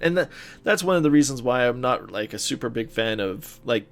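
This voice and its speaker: A man co-hosting a podcast, chatting casually and a little haltingly, often feeling his way through his thoughts. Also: mid-range voice, animated. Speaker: podcast co-host. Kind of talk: casual chat about movies and video games.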